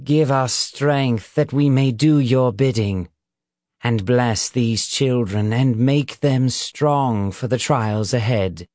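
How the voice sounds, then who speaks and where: A man voicing a stern, fanatical older male preacher in an audiobook, declaiming in drawn-out sermon phrases. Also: mid-range voice, a little mellow, no echo, studio quality, natural voice. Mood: solemn praying.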